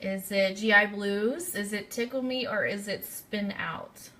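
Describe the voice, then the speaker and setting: Bright-voiced woman hosting a live quiz. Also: medium pitch, steady tone, unhurried, slight background noise. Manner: chatty, interactive, addresses listeners directly. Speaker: radio show host.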